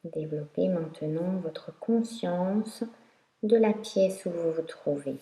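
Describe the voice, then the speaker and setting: A woman teaching yoga nidra, guiding a meditation in a calm, instructional way with long pauses. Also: on the low side. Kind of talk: guided meditation narration. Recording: roomy echo.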